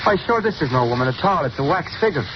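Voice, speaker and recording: A man's voice: a little high, expressive, quick pace, close to an everyday voice. A man with an Irish accent playing a chatty police lieutenant in a 1930s radio drama. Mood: gruff. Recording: dull old radio broadcast with hiss.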